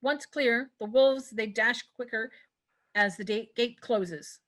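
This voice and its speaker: A woman with a fairly high voice reads stage directions for a table read. It is scripted narration, with the occasional stumble over a word. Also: takes it slowly, fairly bright tone.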